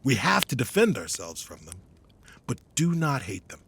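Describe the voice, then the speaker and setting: An actor playing a seasoned, burdened hero knight commander in an audio drama, speaking earnestly and with grave authority. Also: male voice, medium pitch, very animated, normal speed, creaky, fairly bright tone, breathy edge, full character voice. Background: dramatic background music.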